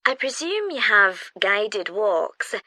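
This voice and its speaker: Bright-voiced, keen British newcomer. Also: female voice, medium pitch, sing-song, slow pace, no room sound, heavily acted. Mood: keen, polite. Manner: polite, eager, inquiring. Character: keen, friendly female newcomer asking to volunteer. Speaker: actor in a listening test dialogue. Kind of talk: scripted everyday conversation, clearly enunciated.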